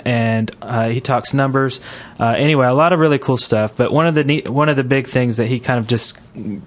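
A man hosting a casual gaming podcast, talking in a halting, rambling way. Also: mid-range voice. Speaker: podcast host.

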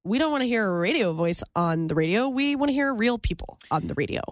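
A woman radio host chats casually into a studio microphone. She talks in a relaxed, self-deprecating way and laughs easily. The sound is close and dry. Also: on the high side, fast talker, no echo. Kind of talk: casual podcast chat.

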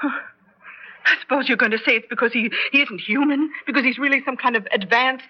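An actress playing Martha, a worried middle-aged wife in a radio drama, soothing yet firm. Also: female voice, high voice, normal speed. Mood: pleading. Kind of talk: 1970s radio drama acting. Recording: dull lo-fi old radio recording.

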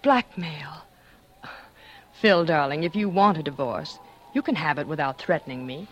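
An actress playing a scheming, cold-blooded former stage actress, confessing in dramatic 1940s radio suspense style. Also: female voice, deep voice, moderate pace. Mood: defensive, then falsely sweet. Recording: 1940s radio recording with music.

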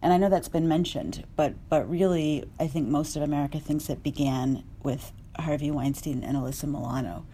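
A woman journalist speaking earnestly on a discussion panel, measured and with small restarts. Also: deep voice, warm tone, slight background noise.

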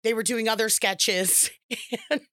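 A woman's voice: on the high side, fairly even intonation, takes it slowly, fairly bright tone, no room sound, clean studio sound. A middle-aged woman telling funny stories on a podcast, laughing often, swearing casually and acting out quotes. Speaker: podcast guest, comedic actress. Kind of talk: casual chat, storytelling.

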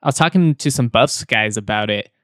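A man hosting a sports podcast in casual chat, his voice slightly hoarse, chatty and repeating words as he goes. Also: mid-range voice, brisk, a bit bright, no echo, studio quality. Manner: chatty, repeats words, laughs now and then. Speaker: podcast host.

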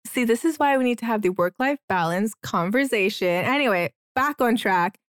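A woman's voice: a little high, animated, moderate pace, a bit bright, no room sound, studio quality. A woman with a light Trinidadian accent, co-hosting a podcast with casual, teasing banter and informal interjections. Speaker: podcast co-host.

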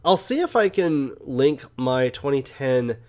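A man co-hosting a film podcast in casual conversation, often halting mid-thought as he talks. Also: medium pitch, big pitch swings, darkish tone. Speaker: podcast co-host and film reviewer.

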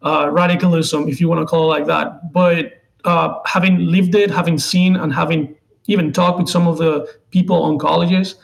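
A man in his twenties with a Venezuelan accent, a native Spanish speaker, answering interview questions haltingly as he searches for words. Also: on the high side, fairly even intonation, vocal fry, low-quality recording. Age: 20s. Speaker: interview guest, political contributor.

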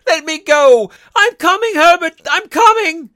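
Man voicing a shrill, frantic old woman. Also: animated, measured pace, bright, forceful tone, dry recording, clean studio sound, full character voice. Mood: desperate. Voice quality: shrill, strained. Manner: screaming, pleading. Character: frantic, grief-stricken old woman desperate for her son.

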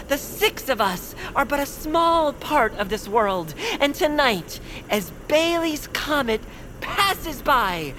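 A timid young creature in a cartoon audio drama, given to earnest little speeches. The voice is fairly high and very expressive, with faint background noise. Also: female voice. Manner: earnest, wordy.

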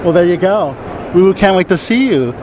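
An interviewer hosting a casual convention interview, upbeat and quick with eager affirmations. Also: mid-range voice, lively intonation. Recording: faint traffic noise outdoors.

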